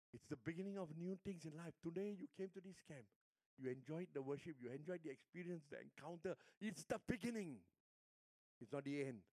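Older Singaporean pastor preaching. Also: male voice, a little high, dry recording. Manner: storytelling, swings between hushed and emphatic. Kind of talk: sermon.

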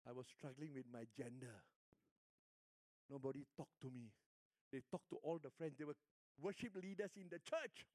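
An older man with a Singaporean accent, a pastor preaching a sermon and telling stories, moving between hushed lines and emphatic ones. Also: mid-range voice, normal speed, pauses often, no echo, studio quality.

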